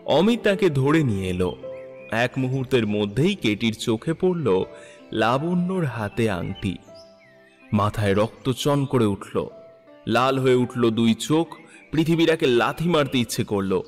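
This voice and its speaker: A man narrating a literary story in an expressive, measured, dramatic style. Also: mid-range voice, in a large hall, faint music behind.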